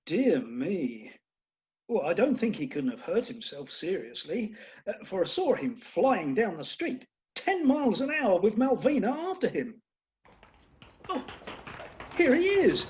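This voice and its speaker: A woman's voice: fairly high pitch, a little mellow. A woman playing a determined, drily sympathetic schemer in a comic Victorian farce, with dry understatement. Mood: excited. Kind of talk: comic stage farce acting.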